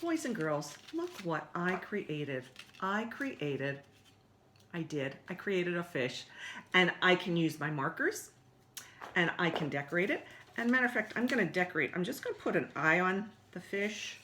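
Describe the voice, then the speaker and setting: Lady doing kids story time, slow n kinda low voice, simple encouraging talk like a children's librarian. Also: animated, noisy background. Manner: simple, encouraging address to small children with repetition. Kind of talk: children's story time presentation with songs and rhymes.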